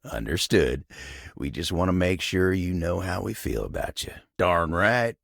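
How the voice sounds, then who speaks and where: A man voicing a gentle, lovestruck living table in a video game, with a gravelly, husky Southern American drawl and a bashful, earnest, folksy manner. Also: deep voice, unhurried, no echo, clean studio sound, exaggerated voice. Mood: tender, easygoing. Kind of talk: video game character acting.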